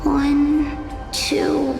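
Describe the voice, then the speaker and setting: An actress voicing a wistful, hesitant small child in a video game, forlorn and waiting. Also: female voice, high voice, completely flat delivery, vocal fry, exaggerated voice. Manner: hesitant, counting slowly. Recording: long echoing reverb, loud music.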